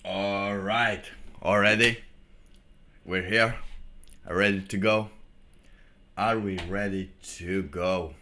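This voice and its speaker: A man hosting a livestream in a casual, rambling way, thinking aloud in halting phrases. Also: sits low, fairly even intonation, sharp tone, slight background noise. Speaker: livestream host.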